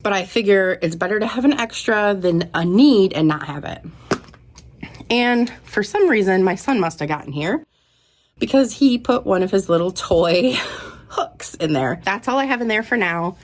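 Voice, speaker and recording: A woman's voice: medium pitch, lively intonation, normal speed. A young woman talking casually to her viewers, a little stuffy and out of breath from a cold, upbeat and chatty. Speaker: vlogger. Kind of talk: casual show-and-tell vlog.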